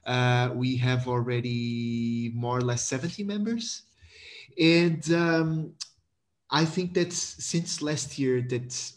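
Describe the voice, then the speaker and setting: Man, eager and repetitive. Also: medium pitch. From Portugal. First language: Portuguese. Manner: enthusiastic, repeats words, many fillers and restarts. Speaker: guest, community manager. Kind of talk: video-call talk.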